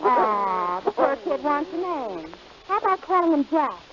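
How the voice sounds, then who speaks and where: A woman's voice: high-pitched, very animated, a little slow, very noisy. A woman playing a wry, wisecracking woman in an old radio comedy. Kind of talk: 1930s radio comedy acting. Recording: muffled old radio, music behind.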